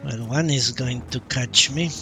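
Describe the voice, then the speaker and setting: A man's voice: medium pitch, unhurried, creaky. A man streaming a video game, casually thinking aloud as he comments on his play. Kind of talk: live gameplay commentary, casual. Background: prominent game music.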